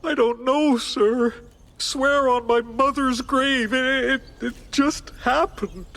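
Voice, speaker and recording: Very high, stammering, timid circus performer. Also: male voice, steady tone, creaky, fairly bright tone, exaggerated voice. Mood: nervous, apologetic. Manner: nervous stammer, polite, halting. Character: timid, jittery male cartoon circus high-wire performer. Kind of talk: cartoon game voice acting.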